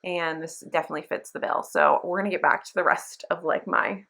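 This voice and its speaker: A woman's voice: fairly low pitch, steady tone, fairly quick, bright, forceful tone. A woman hosting a book review channel, chatting casually to camera in a gushing, emphatic, informal way with mild slang.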